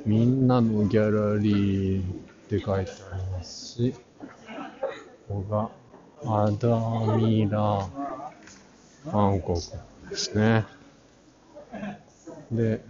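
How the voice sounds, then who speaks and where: A middle-aged man giving casual walk-through commentary for a vlog, halting and muttering asides to himself. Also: lowish voice, fairly even intonation, crowd noise. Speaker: art channel vlogger giving a walk-through. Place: large crowded indoor exhibition hall. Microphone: action camera at arm's length, face mask on. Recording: muffled audio in a busy crowded hall.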